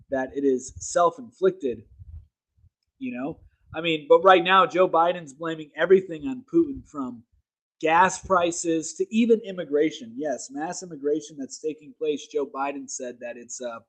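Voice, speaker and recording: A man's voice: a little high, rough recording. A man hosting a casual opinion livestream, talking emphatically and rambling, correcting himself mid-sentence. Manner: reads articles aloud to viewers. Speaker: political livestream host.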